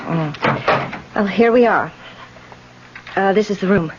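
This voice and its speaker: A woman's voice: mid-range voice, lightly acted. An American actress playing a nervous, guarded boarding-house landlady in a radio drama, speaking in a hesitant, flustered way. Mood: flustered, polite. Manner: hesitant, flustered, halting speech. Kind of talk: 1970s radio drama acting. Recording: muffled, noisy 1970s radio broadcast recording.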